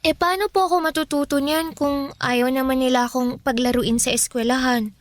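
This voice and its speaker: High, childlike schoolgirl, played by a woman. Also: fairly even intonation, normal speed, dry recording, lightly acted. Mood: curious, unsure. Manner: childlike, polite, questioning. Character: sulky, curious young schoolgirl, granddaughter. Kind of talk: Filipino radio drama acting.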